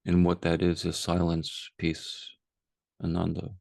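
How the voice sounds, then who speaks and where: A man guiding a meditation as a teacher, speaking calmly and unhurriedly with long pauses. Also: low-pitched, gravelly, no room sound, studio quality. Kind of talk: guided meditation, unscripted.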